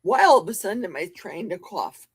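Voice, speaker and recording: A woman's voice: medium pitch, sing-song, fairly quick, breathy edge, dry recording, studio quality. A woman hosting a casual livestream from her home basement, chatty and jokey as she answers viewers' comments. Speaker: livestream host. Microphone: desk mic with a cough button.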